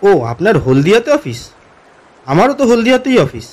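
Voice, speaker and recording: A man playing an uneasy office commuter who narrates his own horror story in an expressive, atmospheric way for a cartoon. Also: high voice, normal speed. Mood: friendly, polite. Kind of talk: dramatic first-person horror story narration.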